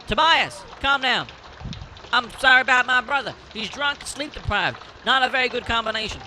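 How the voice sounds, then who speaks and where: An actor playing a stern, hard-working sibling in charge of a farmhouse in an amateur audio drama. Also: male voice, very high-pitched, moderate pace, sharp tone, a little hiss or hum, full character voice. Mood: apologetic.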